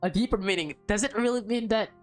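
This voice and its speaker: Female let's player, mid-pitched, reading narrative aloud and reacting casually, music underneath. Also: quick pace. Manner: informal, stumbles over words and comments on the story. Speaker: let's play host. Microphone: close mic.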